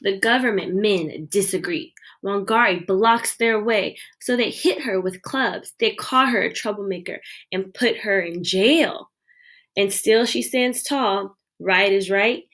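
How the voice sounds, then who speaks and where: A woman reading a children's picture book aloud with expressive storytelling delivery. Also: medium pitch, slow. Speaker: read-aloud reader.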